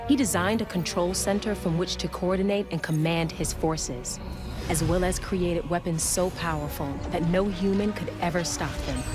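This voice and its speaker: A woman's voice: fairly low pitch, natural voice. A woman playing a composed, knowledgeable adventurer who tells lore in a video game cutscene. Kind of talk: video game cutscene acting, dramatic narration. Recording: music behind the voice.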